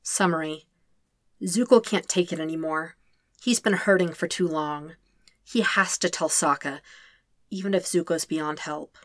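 A woman reading a fanfiction story aloud in a soft, subdued, measured way. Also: low-pitched, dry recording, studio quality. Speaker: fanfiction reader. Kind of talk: scripted narration of a podfic.

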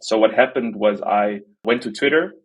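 He is a young man from the Netherlands with a noticeably husky voice that sits on the low side. He talks casually as an interview guest, joking at his own expense. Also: moderate pace. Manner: self-deprecating, jokey. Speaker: interview guest, art platform team member. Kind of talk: casual podcast conversation.

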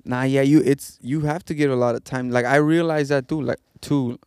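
A man's voice: medium pitch, fairly even intonation, moderate pace, a little mellow, smooth, no room sound, clean studio sound. A young man chatting casually as a podcast guest, laid-back and agreeable.

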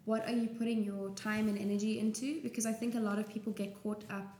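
A woman speaks as a guest in a casual interview, candid and conversational. Her voice sits at a middling pitch, in a roomy, echoing space with some background noise. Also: level tone, fast talker, a little mellow. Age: 20s. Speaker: interview guest, small business owner.

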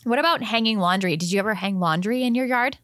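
A Canadian woman co-hosts a casual chat in a bright, forward voice at an average pitch. She is talkative and often turns to her partner with questions. The recording is close and dry. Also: quick pace, no echo. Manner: chatty, often asking questions. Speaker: show co-host.

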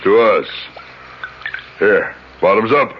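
An actor playing a smug, boorish, leering captor who drawls slangily and chuckles, in a 1970s radio drama. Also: male voice, fairly low pitch, animated, takes it slowly, noisy background. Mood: boisterous. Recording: muffled 1970s radio recording.

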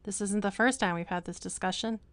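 A woman's voice: mid-range voice, fairly even intonation, a little fast, no echo, close to an everyday voice. A woman playing an eerie, relentlessly upbeat, unfazed spokeswoman in a scripted comic advertisement. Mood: cheerily unfazed. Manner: salesy, unfazed.

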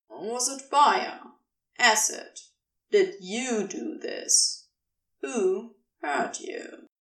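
A woman reads a story aloud in a high, creaky voice. Her narration is expressive and measured, with plenty of melodic movement. She sounds a little way off from the microphone. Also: recorded from a distance. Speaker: podfic reader.

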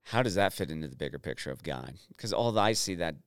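A man's voice: low-pitched, expressive, fast talker, clear, resonant tone, no echo, studio quality. A man in his late thirties on a podcast, easygoing and inquisitive as he asks his co-host questions. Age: 30s. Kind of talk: casual conversation.

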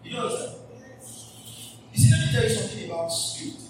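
A man's voice: sits high, very animated, quick pace. A man preaching a church sermon, emphatic and repeating phrases as he asks the congregation questions. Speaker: pastor. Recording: distant mic in an echoey hall with background noise.

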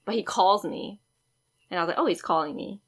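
A woman in her twenties co-hosting a casual chat, telling animated dating stories with lots of giggling. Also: medium pitch, a little fast, husky. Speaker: podcast co-host.

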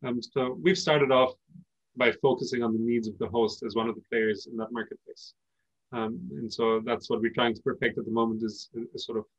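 A man who is a native Persian speaker, answering interview questions as a company founder in a conversational, explanatory way with pauses mid-phrase. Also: lowish voice, no room sound, lo-fi sound.

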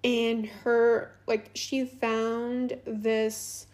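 A woman's voice: a little high, little pitch movement, extremely slow, a little mellow. A young woman from Latvia whose first language is Russian, talking casually and unscripted as a podcast host, rambling with many fillers and restarts.

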